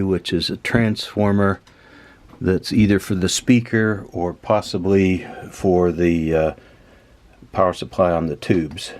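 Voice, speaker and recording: A man with a low voice talks through things in a casual, explanatory way. He often pauses mid-phrase, as if working it out while he speaks. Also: a little mellow. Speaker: host of a vintage electronics repair channel. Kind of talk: casual explanatory hands-on commentary.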